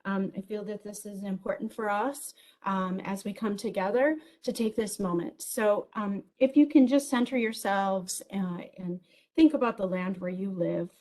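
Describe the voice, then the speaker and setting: A woman's voice: mid-range voice, moderate pace. Big-voiced enthusiastic woman giving a keynote. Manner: enthusiastic, lively, with many ums and small jokes. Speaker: keynote speaker. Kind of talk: virtual conference keynote.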